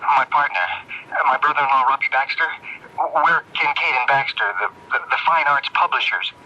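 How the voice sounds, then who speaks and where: An actor playing a nervous, pleading art dealer in a radio mystery, agitated and stammering. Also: male voice, mid-range voice, a little fast, sharp tone. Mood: nervous, apologetic. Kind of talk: radio mystery drama acting. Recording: dull, hissy AM radio recording.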